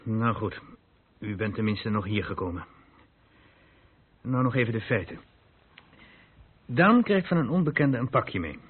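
An actor playing a stern, reproachful police inspector in a radio drama, questioning in a clipped, insistent, authoritative way. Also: male voice, lowish voice, big pitch swings, unhurried, long pauses, husky, full character voice. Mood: businesslike. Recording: muffled narrow-band old radio recording.

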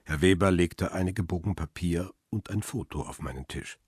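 A man playing a bitter, betrayed paparazzo who narrates his own story in a measured, reflective way for a radio crime drama. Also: low-pitched, darkish tone, husky, no echo, clean studio sound. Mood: brooding, shaken. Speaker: first-person narrator.

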